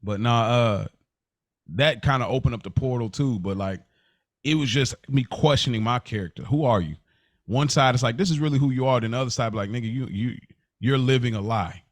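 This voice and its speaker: A man's voice: mid-range voice, brisk, no echo, clean studio sound. A man co-hosting a podcast, talking candidly and reflectively in a casual, slangy way. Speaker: podcast co-host.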